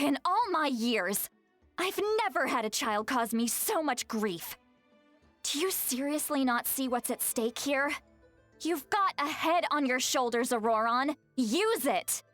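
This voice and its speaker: A woman's voice: high-pitched, a bit bright, exaggerated voice. A woman playing a feisty, exasperated granny in a video game, stern and dramatic as she scolds.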